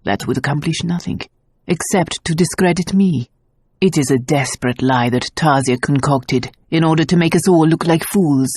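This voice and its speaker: A proud, wiry aristocrat speaks in a measured, scornful way, in a voice slightly deeper than the reader's. A woman narrator plays him. The read is dry and close. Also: a little mellow, breathy edge, dry recording.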